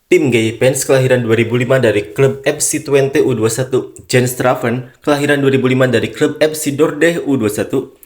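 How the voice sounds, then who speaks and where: A man narrates a sports news segment in an even, read-aloud manner. His voice sits at a middle pitch, neither high nor low. The delivery stays steady from line to line. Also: normal speed. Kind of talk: scripted narration reading news and online comments.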